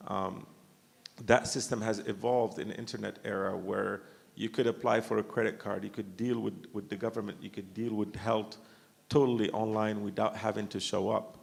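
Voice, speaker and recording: Gravelly-voiced man on a conference panel. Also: lowish voice, flat delivery, moderate pace, big-room sound. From Morocco. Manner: thoughtful, many fillers and restarts. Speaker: panelist, company founder. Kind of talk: panel discussion. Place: university conference hall.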